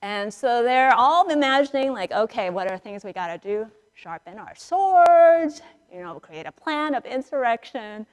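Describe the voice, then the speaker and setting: A middle-aged woman priest giving a conversational storytelling sermon in a large church, acting out dialogue with dramatic pauses. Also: fairly high pitch, lively intonation. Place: large church sanctuary, indoors, standing and moving before the altar. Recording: reverberant church hall, picked up in a large space.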